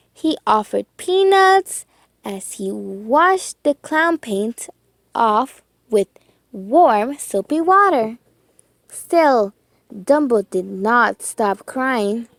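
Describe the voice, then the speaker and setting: A woman reading a children's storybook aloud with expressive, deliberate phrasing and dramatic emphasis. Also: sits high, no room sound.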